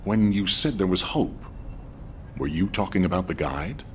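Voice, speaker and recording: An actor playing a stern, wary middle-aged commander in a video game, measured and authoritative. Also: male voice, sits low, expressive, a little hiss or hum. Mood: grave, wary. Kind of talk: scripted video game acting.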